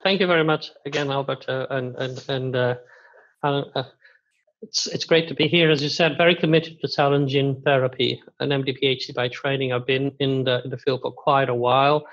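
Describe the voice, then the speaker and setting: A man's voice: medium pitch, steady tone, fairly quick, fairly bright tone. A man introducing himself and his company on a panel, with frequent fillers and repeated words. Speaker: biotech company CEO. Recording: dull lo-fi sound.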